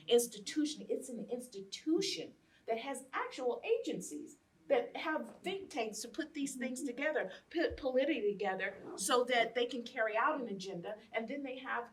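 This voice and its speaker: A woman's voice: on the high side, recorded from a distance, low-quality recording. An American woman in her late fifties speaking in an impassioned, emphatic panel discussion, repeating phrases for effect. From Los Angeles, United States. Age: late 50s. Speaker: guest speaker, educator.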